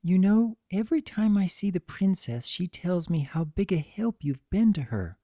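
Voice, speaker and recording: A gentle, earnest old female pony from a storybook, acted by a reader, who turns firm when crossed. The pitch is lifted well above the narration and the sound is dry. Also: animated, normal speed, no echo. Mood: kindly, earnest. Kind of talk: fan fiction dramatic reading.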